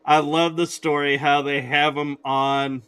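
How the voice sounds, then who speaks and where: A man's voice: fairly high pitch, flat delivery, a little slow, a bit bright, clear, resonant tone. A man hosting a podcast, chatting casually in an easygoing, rambling way with restarts. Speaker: podcast host.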